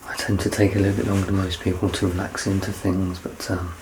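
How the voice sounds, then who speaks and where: A man in his thirties doing a soft-spoken ASMR roleplay as a doctor, gentle and reassuring, often close to a whisper. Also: low voice, little pitch movement, fast talker. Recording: clear background noise and ambience.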